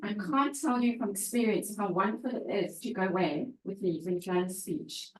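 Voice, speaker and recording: A woman chairs a public-speaking club evening in a roomy, echoey meeting room. Her talk is chatty and loose, full of little fillers and laughs, at an ordinary middle pitch. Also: moderate pace, a little mellow.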